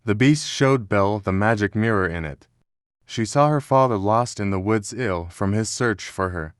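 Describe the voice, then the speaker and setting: A man narrating a scripted children's story in a measured, dramatic storytelling manner. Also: fairly low pitch, lively intonation, clean tone, no room sound, studio quality.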